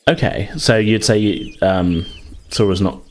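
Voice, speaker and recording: A man's voice: lowish voice, slow. A man acting as game master, improvising tabletop game narration in informal, hesitant phrasing.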